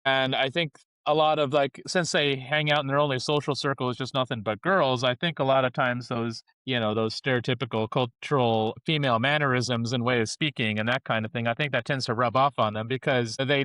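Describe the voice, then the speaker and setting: A man of mid pitch talks in a loose, rambling way, full of fillers and small chuckles. His voice sits dry and close, with no room echo at all. He sounds like a podcast co-host in casual discussion. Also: fairly even intonation, fairly quick, fairly bright tone, clean tone.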